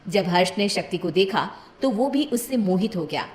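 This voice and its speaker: A woman's voice: medium pitch, lively intonation, moderate pace, reverberant, a little hiss or hum. A woman reading a scripted cartoon moral story as its narrator.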